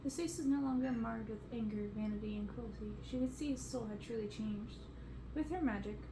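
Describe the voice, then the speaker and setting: Woman, twenties, ASMR novel narrator with a highish voice, in a noisy, echoey bedroom. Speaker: storybook reader. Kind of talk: ASMR bedtime-style reading of a fantasy novel. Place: bedroom, indoors. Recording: roomy echo, noticeable background noise.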